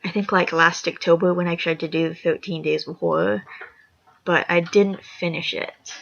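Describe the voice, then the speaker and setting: A young woman with a raspy voice, reading a horror fan story aloud, rambling and apologetic with chatty asides. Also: lowish voice, fairly even intonation, normal speed, fairly bright tone, a little hiss or hum. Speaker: story reader. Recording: lo-fi dull recording.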